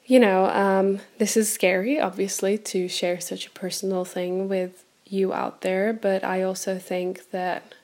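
A woman's voice: mid-range voice, flat delivery, a little slow, darkish tone. A German-born woman in her twenties who grew up in Portugal, hosting an unprepared solo podcast and talking candidly with many ums, recorded on a phone while sitting on the floor indoors. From Germany, raised in Portugal. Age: 20s. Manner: chatty and candid, frequent ums and laughs. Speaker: podcast host.

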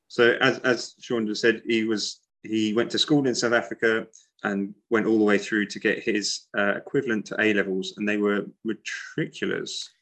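A man's voice: lowish voice, flat delivery, moderate pace, a bit bright. A man hosting a podcast interview, asking friendly questions with lots of hesitations and ums. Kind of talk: casual interview.

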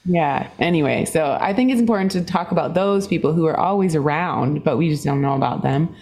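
A woman born in Singapore, a guest musician telling stories in a podcast interview, animated and laughing often, her pitch jumping up as she talks. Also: brisk.

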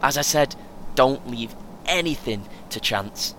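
A man playing a bright-voiced, cheeky young British lad. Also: lively intonation, unhurried. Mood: casual. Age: young adult. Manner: casual, laddish. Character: cheeky, chatty young male marathon runner, 23. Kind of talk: audio play dialogue. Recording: clear vehicle rumble noise. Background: vehicle ambience.

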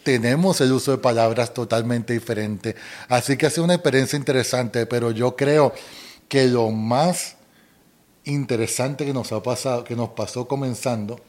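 A middle-aged man with a softened Colombian accent, co-hosting a casual chat with his wife and rambling a little as he talks. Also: medium pitch, normal speed. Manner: relaxed, rambling with restarts and fillers. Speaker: co-host.

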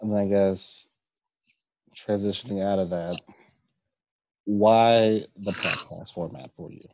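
A man's voice: lowish voice, fairly even intonation, slow, vocal fry, darkish tone, no echo, rough recording. A man hosting an interview, asking questions with hesitant fillers. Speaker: podcast host. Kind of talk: conversational interview.

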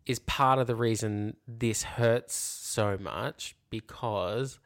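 Man, low pitch, vocal fry, reflective casual talk, hedging explanatory delivery. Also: slow pace, a bit bright. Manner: hedging, explanatory, frequent 'you know' and 'like'. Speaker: podcast co-host.

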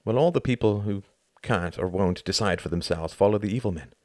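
A man's voice: on the low side, a little fast, a little mellow, dry recording, clean studio sound, close to an everyday voice. A man voicing a sardonic, articulate male friend in an audiobook, reasoning and lecturing with emphatic exasperation. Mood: exasperated anger.

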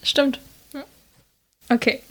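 A young woman from the Stuttgart area, co-hosting a podcast in a relaxed, casual chat and asking questions. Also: slow pace. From Stuttgart area, Germany. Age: young adult. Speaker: podcast co-host.

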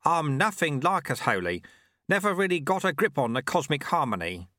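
A man voicing a cheerful, amiable little old monk sweeper in an audiobook, wryly modest, with a breezy, gently teasing manner. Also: on the high side, sing-song, moderate pace, no echo, studio quality, voice pushed up.

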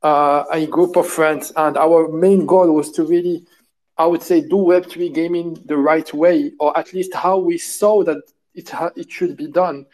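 Interview guest: Moroccan-born man, slightly high voice, loose and rambling delivery. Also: steady tone, measured pace, darkish tone, low-quality recording. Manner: rambling, many fillers and restarts. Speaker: guest, game studio co-founder. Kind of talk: conversational interview answers. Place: at home, lives in France.

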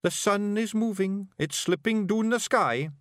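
A man's voice: high-pitched, a little slow, fairly bright tone, smooth, no room sound, studio quality, voice pushed up. A man voicing a very young, small fairy-folk bard, earnest, anxious and respectful, with a Scottish accent in a storybook. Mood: grave warning. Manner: earnest, nervous, deferential.

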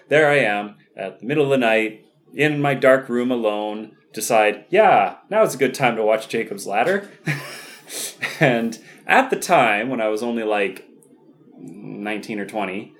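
A young man co-hosting a casual film podcast, rambling and correcting himself as he talks. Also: medium pitch. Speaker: podcast co-host. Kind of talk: casual chat about films.

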